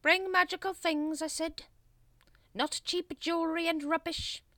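A woman's voice: extremely high pitch, lively intonation, moderate pace, pushed and bright, dry recording, voice pushed up, heavily acted. A woman voicing a pompous, exasperated male leader of a secret cult in a storybook, theatrical, imperious and sarcastic. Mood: exasperated, snappish. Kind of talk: storybook character voice in audiobook.

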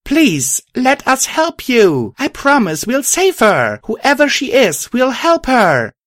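Man putting on a high, straining young pony heroine. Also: sing-song, unhurried, dry recording, clean studio sound, full character voice. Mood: pleading. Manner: urgent, straining, emphatic. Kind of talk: audiobook character voice.

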